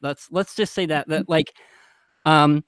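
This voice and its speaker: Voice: mid-range voice, fairly even intonation, unhurried, dry recording. A man speaking as a panelist in a casual discussion, frank and informal with a dry turn of phrase. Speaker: podcast panelist.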